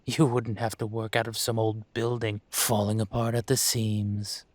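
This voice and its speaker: Guy doing a dragon crime boss for audio rp, low voice, takes his time, smug n teasing, super dry close sound. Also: level tone, no room sound, close to an everyday voice. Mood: coaxing, controlling. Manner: slow, measured, condescending, teasing. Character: male dragon mafia boss, suave, patient, menacing. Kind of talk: scripted audio roleplay, intimate.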